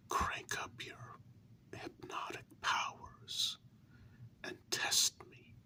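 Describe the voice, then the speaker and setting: Guy doing a hurt human lover in vampire asmr roleplay, whispering real slow and earnest, stretching out words. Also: pushed and bright. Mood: hurt, resigned. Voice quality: breathy unvoiced whisper. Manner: earnest, deliberate, drawn-out words with emphatic pauses. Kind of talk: whispered ASMR role-play.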